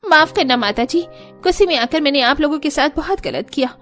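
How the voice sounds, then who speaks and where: A woman's voice: sits high, expressive, a little fast, fairly bright tone, faint music behind. A woman voicing a wealthy, hot-tempered but kind lady employer in a cartoon story, earnest and apologetic.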